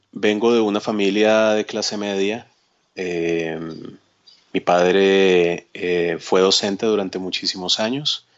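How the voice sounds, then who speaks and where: A Colombian man talking casually as a guest, in a halting way with frequent tag questions. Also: sits low, highs cut off. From Cali, Colombia. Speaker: podcast guest, psychologist. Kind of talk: casual conversational self-introduction.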